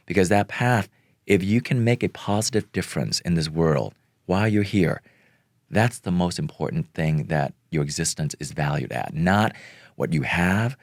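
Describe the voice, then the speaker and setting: Deep gravelly Vietnamese-born man, earnest. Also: no echo, clean studio sound. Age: middle-aged. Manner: slow, earnest, pausing often with fillers. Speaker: guest. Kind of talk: reflective interview talk.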